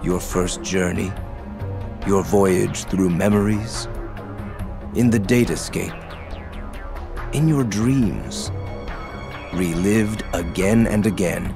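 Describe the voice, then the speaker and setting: An actor playing a calm, mysterious young male villain in a game, speaking in a measured, cryptic and solemn way. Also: sits low, gravelly, heavily acted. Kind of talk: video game cutscene acting. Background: game soundtrack music.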